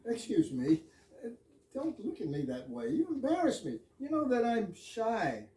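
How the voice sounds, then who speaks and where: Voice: medium pitch, wildly swooping pitch, moderate pace, dark tone. A performer in amateur readers' theater playing a bashful, long-winded fire chief who tells absurd stories. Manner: long-winded run-on storytelling. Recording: echoey room, distant mic.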